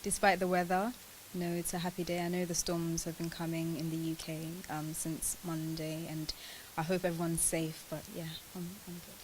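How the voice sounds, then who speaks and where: A woman's voice: low voice, little pitch movement, normal speed, few pauses. A woman in her twenties from Ghana, chatting casually as she answers questions as an interview guest. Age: 20s. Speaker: interview guest, pageant winner. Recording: clearly hissy, noisy sound.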